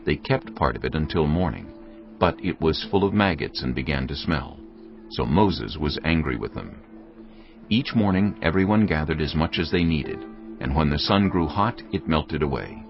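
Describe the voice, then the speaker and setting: Casting note: male narrator, deep gravelly voice, measured scripture reading, dull track. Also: expressive. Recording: dull audio with faint music behind.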